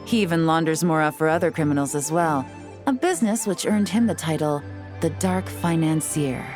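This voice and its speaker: A woman voicing a calm, composed female intelligence agent who explains things in a measured, dry way, in a video game. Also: low-pitched, close to an everyday voice. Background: soft game music.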